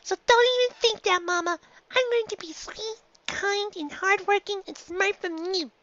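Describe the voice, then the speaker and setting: Earnest, squeaky-high little girl pony. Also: normal speed, fairly bright tone, highs cut off. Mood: earnest, adoring. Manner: childlike, emphatic.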